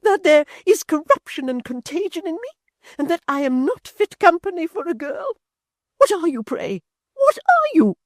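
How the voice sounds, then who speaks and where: Man putting on a high whining old lady, shrill, self-pitying, wildly swooping voice, dry close sound. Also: big pitch swings, moderate pace, a little mellow, no room sound, heavily acted. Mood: shrieking. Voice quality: shrieking, whining. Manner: querulous and theatrical. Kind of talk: audiobook character voice.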